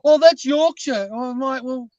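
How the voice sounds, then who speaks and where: A man's voice: very high-pitched, moderate pace, darkish tone, no echo, highs cut off. A man with Scottish family roots and a mixed British Isles accent, chatting casually as a guest and rambling in a self-deprecating way. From Scotland. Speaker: guest caller. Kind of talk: casual chat.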